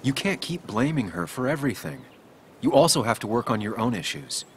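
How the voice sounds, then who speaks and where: An actor playing a gentle, weary, consoling man in a dramatic video game, speaking in a measured and reassuring way. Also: medium pitch, sing-song, a little hiss or hum. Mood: cautious, frank.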